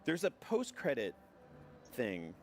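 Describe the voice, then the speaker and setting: A man's voice: medium pitch, huge pitch swings, slow, darkish tone, slight background noise. A man interviewing game developers, asking chatty, friendly questions full of "like" and "um". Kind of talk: casual event interview.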